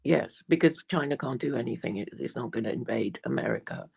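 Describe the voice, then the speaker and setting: A woman's voice: a bass voice, expressive, fairly quick, gravelly, darkish tone, breathy edge. A woman from England, a guest in a live online discussion, speaking hesitantly and repeating words.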